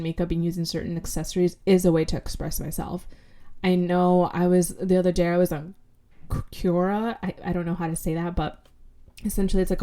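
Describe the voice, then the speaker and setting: A woman's voice: fairly low pitch, dark tone. Congested woman host, blunt and candid. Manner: candid and blunt, swears casually, many fillers like "like" and "you know". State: congested, recovering from illness. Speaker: podcast host. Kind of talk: casual solo podcast monologue.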